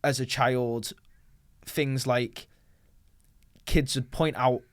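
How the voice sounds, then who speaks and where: A man speaks as a guest in a casual discussion, lively as he recounts his personal experiences. His voice has an ordinary mid pitch. The sound is dry and close. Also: extremely slow, no echo. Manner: animated, acting out quoted exchanges. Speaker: panel guest.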